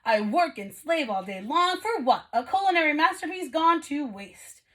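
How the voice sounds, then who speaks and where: A woman's voice: high voice, sing-song, normal speed, a bit bright, pitched above the performer's own voice. Woman voicing an indignant, grumbling kitchen stove. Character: overworked, complaining male kitchen stove.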